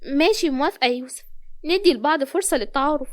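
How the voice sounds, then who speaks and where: A woman's voice: sits high, quick pace, voice pushed up, lightly acted. A woman voicing a sassy, guarded young woman in a romance story, teasing, with an Egyptian accent. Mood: agreeable. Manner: teasing, challenging.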